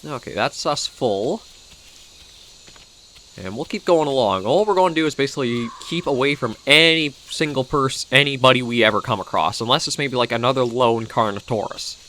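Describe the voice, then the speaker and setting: A man's voice: mid-range voice, normal speed, sharp tone, a little hiss or hum. A man with a Canadian accent who is coming down with a cold, giving casual, rambling gameplay commentary as he thinks aloud. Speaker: gaming video host.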